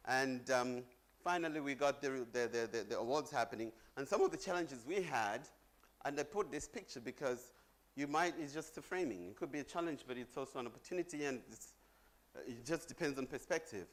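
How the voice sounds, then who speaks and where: A man's voice: medium pitch, a little fast. A middle-aged man from Zambia giving a chatty conference presentation on stage, joking with the audience. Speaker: conference speaker.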